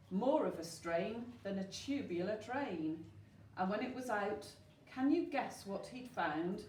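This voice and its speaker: Unhurried woman reading in a roomy space. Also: medium pitch. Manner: expressive, asks the listeners questions. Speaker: storyteller at a school. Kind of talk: rhyming children's story read aloud. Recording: roomy, distant mic with faint noise.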